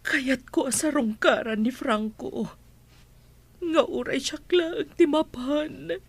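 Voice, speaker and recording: A woman's voice: high-pitched, slow pace, no echo. A woman with an Ilocano accent playing a humble, grieving older nanny in a radio drama, tearful and pleading. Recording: music under the voice.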